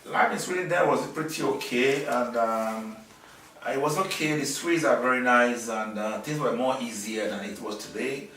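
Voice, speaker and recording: A middle-aged man from Nigeria, answering interview questions in a halting way with many fillers and restarts. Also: medium pitch, a bit bright, slight background noise. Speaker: interviewee, businessman. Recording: echoey room, mic at a distance.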